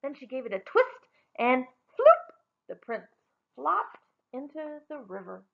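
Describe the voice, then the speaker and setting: A woman reading a children's story aloud in an expressive storytelling style. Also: high-pitched, measured pace, creaky, highs cut off.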